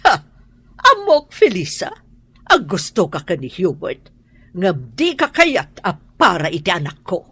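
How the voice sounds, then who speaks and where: A haughty rich matriarch in an Ilocano radio drama. Her voice is husky and cutting, with lively swings of pitch. She is domineering and scolding, with an Ilocano accent. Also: measured pace, exaggerated voice. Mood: imperious, angry. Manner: imperious, scolding. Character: haughty, domineering rich mother, middle-aged or older.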